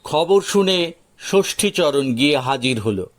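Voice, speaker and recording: A man's voice: on the high side, expressive, measured pace. A man narrating a folk tale as a storyteller, in a dramatized audio story style.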